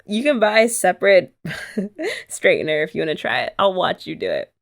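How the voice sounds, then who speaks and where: A woman's voice: lowish voice, very animated, brisk, vocal fry. A young woman chatting playfully and teasingly in a girlfriend roleplay ASMR. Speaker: ASMR creator.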